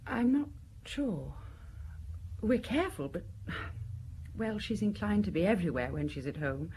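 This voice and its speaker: An actress playing a candid, composed secretary under questioning in an audio drama, hesitant and confiding, sometimes dropping to a breathy near-whisper. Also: female voice, mid-range voice, lively intonation, measured pace, a little mellow, a little hiss or hum. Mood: frank, discreet.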